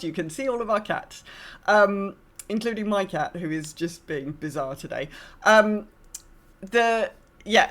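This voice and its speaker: A woman's voice: on the low side, fairly bright tone. A woman co-hosting a gaming podcast in casual chat, rambling and often breaking off mid-sentence. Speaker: podcast co-host.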